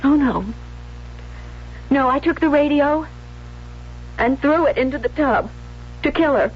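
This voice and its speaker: A woman's voice: high-pitched, measured pace. An actress playing a jealous, unstable young woman in a 1970s radio drama, intense and pleading, on a dull vintage recording. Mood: anguished confessing. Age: young adult. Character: jealous, obsessive, unstable young bride-to-be turned killer.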